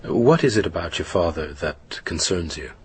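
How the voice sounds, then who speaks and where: A man playing a formal, careful psychotherapist who probes with measured pauses in a naturalistic radio drama. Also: sits low, expressive, a little mellow, a little hiss or hum. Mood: probing. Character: measured, probing American male psychotherapist. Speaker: actor in a radio play.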